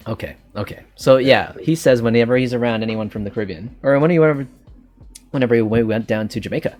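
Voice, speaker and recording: A man's voice: medium pitch, fast, a little mellow. A man with a Canadian accent hosting a podcast, chatting casually and rambling with frequent restarts. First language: English. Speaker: podcast host. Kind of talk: casual chat.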